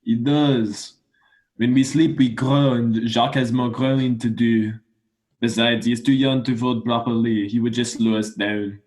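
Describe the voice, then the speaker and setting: A man's voice: medium pitch, fairly even intonation, a little slow, darkish tone, close to an everyday voice, rough recording. A young man playing a patient, explanatory father of small children in a radio play. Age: young adult.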